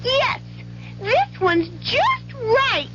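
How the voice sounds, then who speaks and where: A woman's voice: extremely high pitch, sing-song, very slow, a bit bright, full character voice. A woman playing a perky, prim fairy-tale taste tester in a radio commercial, speaking with chirpy deliberation. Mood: perky, pleased. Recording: dull, faintly noisy vintage broadcast.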